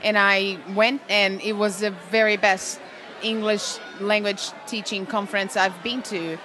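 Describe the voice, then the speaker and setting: Woman gushing about a conference, lots of uhs, mid-pitched voice, faint noise behind. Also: steady tone, sharp tone, a faint crowd. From Brazil. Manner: enthusiastic, with frequent "uh" pauses. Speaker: English teacher at a conference. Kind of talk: casual interview answers. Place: busy conference venue.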